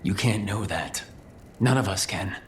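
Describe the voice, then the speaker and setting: An actor playing an earnest, heroic leader in a fantasy game, speaking in a measured, solemn, resolute way. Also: male voice, low voice, a little hiss or hum. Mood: sombre resolve. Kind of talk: dramatic video game acting. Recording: music behind.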